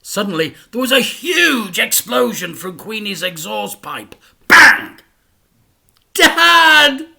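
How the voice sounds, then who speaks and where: A man reading a children's book aloud with a bright, lively delivery, dropping to hushed whispers and bursting into loud sound effects. His voice is slightly breathy and unhurried. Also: high voice. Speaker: teacher reading stories to children. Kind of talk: dramatic storybook read-aloud.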